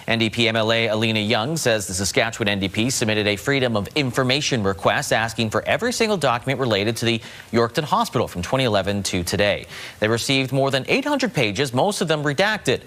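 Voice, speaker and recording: A man's voice: medium pitch, a bit bright. A man anchoring a local evening newscast, reading stories and market prices. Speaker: news anchor.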